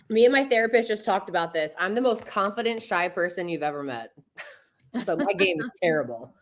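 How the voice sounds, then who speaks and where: A woman's voice: lowish voice, animated, moderate pace. Outspoken woman guest, blunt and talkative, on a video call. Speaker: game night guest. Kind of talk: casual chat.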